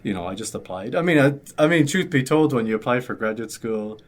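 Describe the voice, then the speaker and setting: A man's voice: medium pitch, lively intonation, a little fast. A young Irish man in his twenties chatting casually as an interview guest, rambling with lots of fillers. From Ireland. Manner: rambling, many fillers and restarts. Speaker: graduate student guest.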